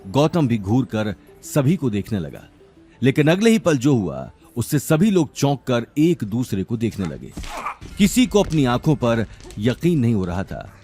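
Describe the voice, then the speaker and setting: A man's voice: mid-range voice, very animated, a little slow, dark tone, soft background music. A man narrating a scripted audio drama series in a dramatic storytelling style.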